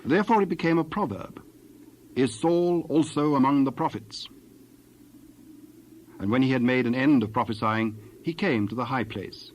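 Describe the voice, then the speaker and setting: Male narrator, scripted scripture storytelling, formal, measured, mid pitch, background noise.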